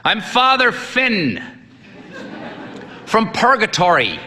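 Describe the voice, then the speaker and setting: A man reading a story aloud, voicing a massive, half-deaf old priest who talks loud, hearty and commanding. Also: high voice, huge pitch swings, takes it slowly, pitched above the performer's own voice, full character voice. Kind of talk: audiobook reading of a short story.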